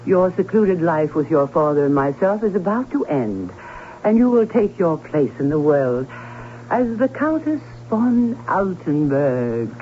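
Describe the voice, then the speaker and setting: An actress playing a prim, proud older maiden aunt in an old radio drama, lecturing in a formal way. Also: female voice, fairly low pitch, big pitch swings, unhurried. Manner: prim, lecturing, swooping emphasis. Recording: thin phone-like old radio, humming noise.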